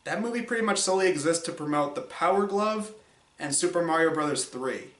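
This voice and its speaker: A man's voice: a little high, expressive, a little fast, a bit bright. A man hosting a show with opinionated scripted commentary, informal and sarcastic in delivery. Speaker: video show host. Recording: echoey room.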